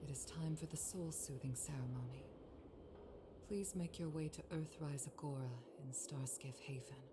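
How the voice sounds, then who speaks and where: A solemn female commander acted by a woman for a video game, low in pitch. She speaks in formal, measured, resolute phrases weighted with grief, over clearly audible ambient noise. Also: natural voice. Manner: formal, measured, grave delivery. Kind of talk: scripted video game acting.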